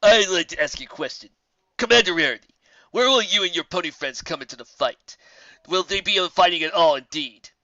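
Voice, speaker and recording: A practical griffin officer in a fantasy story, voiced by a man. The tone is bright and polite, with formal military phrasing and a dry sound. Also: high voice, animated, brisk, no echo, dull audio, voice pushed up. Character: brisk male griffin officer, practical and questioning.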